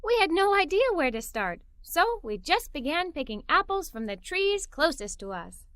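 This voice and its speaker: A woman narrating a children's story aloud in an expressive, playful way. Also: very high voice, moderate pace, dry recording, studio quality.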